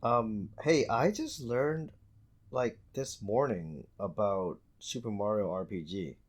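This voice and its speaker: A man co-hosting a gaming podcast, talking casually and haltingly, often repeating words as he starts. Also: on the low side, animated. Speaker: podcast co-host.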